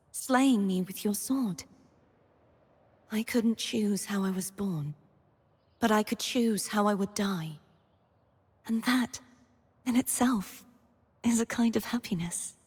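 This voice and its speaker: A young woman playing a gentle, earnest, wistful maiden heroine in scripted video game lines, soft-spoken and breathy. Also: medium pitch, takes it slowly, pauses often. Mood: solemn, devoted. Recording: long reverb on the voice.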